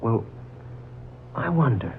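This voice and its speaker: A man's voice: mid-range voice, sing-song, slow, gravelly, heavily acted. An actor playing a courteous, puzzled man, hesitant and musing, in a 1940s radio drama. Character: courteous, puzzled gentleman haunted by odd familiarity. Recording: muffled, crackly old 1940s radio recording.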